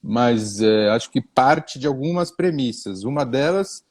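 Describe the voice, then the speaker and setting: A man's voice: mid-range voice, expressive, a little slow. A middle-aged Brazilian man chatting as a comedian guest on a live stream, hesitant and repeating words, with wry self-mocking jokes. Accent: Brazilian Portuguese. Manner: slips into pompous old-fashioned wording. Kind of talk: casual interview chat on a live stream.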